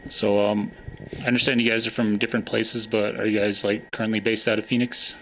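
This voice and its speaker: A man interviewing a band in a casual, hesitant way, with many pauses and fillers. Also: on the low side, flat delivery, fairly bright tone, rough recording. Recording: faint ambient noise.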